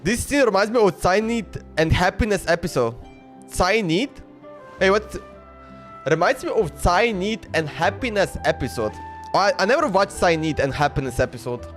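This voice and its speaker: A man's voice: high-pitched, animated, normal speed, a bit bright. A man reacting live to a video game as a streamer, chatting casually and bluntly. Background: faint game music.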